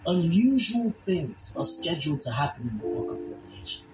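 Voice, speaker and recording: A preacher reading scripture and teaching in a solemn, emphatic way, with deliberate phrasing. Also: mid-range voice, very animated, a little mellow. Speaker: Bible teacher and narrator. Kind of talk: dramatized scripture reading and sermon. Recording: echoey voice over background music.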